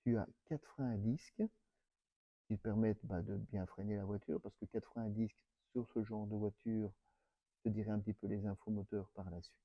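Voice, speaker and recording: A man's voice: lowish voice, little pitch movement, brisk, dry recording, highs cut off. A man with a Belgian French accent presenting a car in a casual, chatty way, talking to the viewer in familiar, slangy words.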